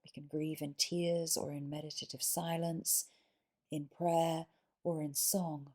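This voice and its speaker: Slow, low-pitched woman guiding meditation. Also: flat delivery, a little mellow. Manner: soothing, measured, deliberate pauses between short phrases. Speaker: yoga nidra guide. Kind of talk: guided meditation narration.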